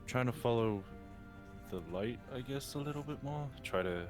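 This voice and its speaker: A man's voice: medium pitch, lively intonation, moderate pace, over music. A man casually improvising as a tabletop game player, informal and jokey, swearing now and then. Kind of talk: casual improvised roleplay chat.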